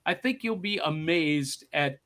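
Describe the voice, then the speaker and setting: Teasing high-voiced man host. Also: expressive, unhurried, a bit bright. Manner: teasing, jokey banter. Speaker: podcast host. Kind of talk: casual chat.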